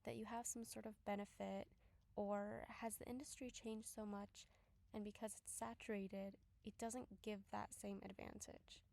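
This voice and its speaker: A woman interviewer asking a question in a measured, even way. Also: mid-range voice, vocal fry.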